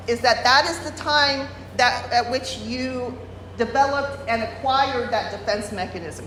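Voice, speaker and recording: A woman leads a workshop, explaining carefully, pausing on fillers and restarting her sentences. Her voice sits a little high. She speaks without a microphone in a large room with a long echo. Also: a bit bright, slight background noise. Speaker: workshop presenter. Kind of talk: workshop lecture. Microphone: no microphone, room recording. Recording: roomy hall, unamplified voice.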